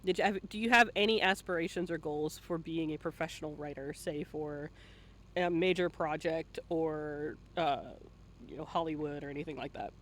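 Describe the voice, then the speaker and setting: A man's voice: fairly high pitch, fairly even intonation, moderate pace, a bit bright, a little hiss or hum. A man hosting a casual live-streamed interview in an informal, joking way. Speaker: interviewer and host.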